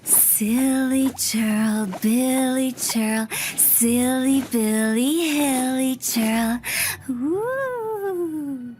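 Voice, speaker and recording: A woman's voice: high voice, drawn-out words, noisy background. A woman voicing a playful, eccentric young female funeral director in a fantasy video game, with a teasing, singsong, theatrical delivery. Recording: game music behind the voice.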